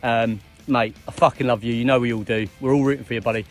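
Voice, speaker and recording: A man filming a loud, swearing, affectionate message outdoors on a freezing golf course. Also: mid-range voice, steady tone, quick pace, soft background music. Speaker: golfer. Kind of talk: casual video message.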